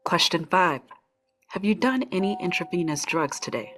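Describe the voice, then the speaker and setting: A woman playing a stoic, politely chipper corporate orientation facilitator in a script table read, brisk, procedural and artificially courteous. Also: fairly low pitch, expressive, fairly bright tone. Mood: brisk, officious.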